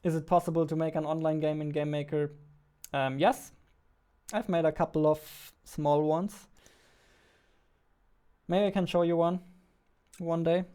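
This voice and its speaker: A man's voice: on the high side, little pitch movement, measured pace, pauses often, warm tone, clear, resonant tone. A man, chatting casually as he works on a livestream into a close studio mic. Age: 20s. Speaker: indie game developer streaming his work. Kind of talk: casual livestream chat while working. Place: small indoor home studio. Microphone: close studio mic on boom arm.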